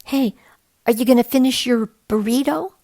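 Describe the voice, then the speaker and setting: A woman's voice: on the high side, moderate pace, mellow, pitched above the performer's own voice. A woman voicing a goofy, cheeky, hungry young boy in a children's storybook, flippant and exclamatory.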